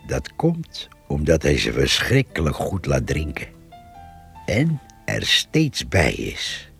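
A measured countryman in a radio drama monologue. His voice is very deep and gravelly, and he explains slowly with pauses and swinging intonation. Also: wildly swooping pitch, a bit bright, soft background music, full character voice. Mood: measured, matter-of-fact. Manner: deliberate, explanatory, pausing. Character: measured, knowing countryman describing a farmer.

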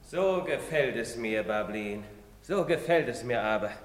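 An actor playing a pious, fatherly village priest who admonishes gently in a preacherly way. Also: mid-range voice, expressive, measured pace, lightly acted. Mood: genial. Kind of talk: stage play recording. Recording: long hall reverb.

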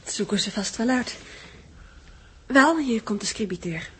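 Brief: inquisitive young woman assistant, high, lively swooping voice, vintage radio play. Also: measured pace, background noise. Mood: matter-of-fact. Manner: animated, questioning. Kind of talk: 1960s radio drama acting.

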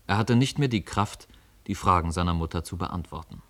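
A man with a very low voice narrates a literary radio play. His delivery is scripted and measured, in the manner of a storyteller.

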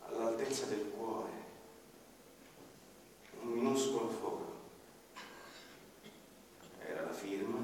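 A man narrating a radio drama in the first person, grave and dramatic, often dropping to a hush. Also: medium pitch, heavy reverb, big-room sound, far from the mic, a little hiss or hum, poor audio. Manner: grave, dramatic storytelling with sudden drops to a hush.

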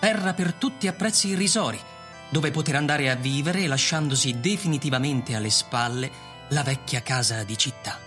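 A man's voice: mid-range voice, normal speed, fairly bright tone. A man narrating a scripted radio drama as a storyteller. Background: music.

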